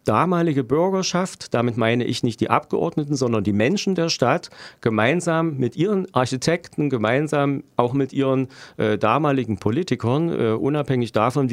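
A middle-aged man from Germany answering interview questions as a local politician, explaining deliberately and haltingly with dry irony. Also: mid-range voice, no echo. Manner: deliberate, halting, dryly ironic. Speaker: local politician interviewed, road planner by profession.

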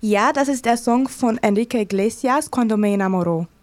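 A woman with a fairly high voice speaks as a guest in an interview. Her unscripted account is enthusiastic but halting, as she searches for words and uses slightly irregular grammar. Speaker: interviewed contributor.

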